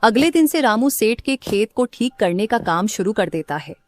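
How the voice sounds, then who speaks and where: A woman's voice: a little high, lively intonation, moderate pace, dry recording, soft background music. A woman reading scripted narration as the narrator of a story.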